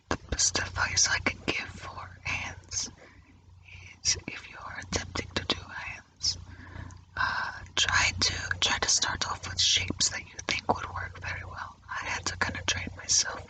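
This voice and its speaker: A man whispering breathily in ASMR style, musing haltingly to himself as he narrates his drawing close to the mic. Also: bright, forceful tone, rough recording. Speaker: artist narrating own drawing. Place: indoors at a drawing easel.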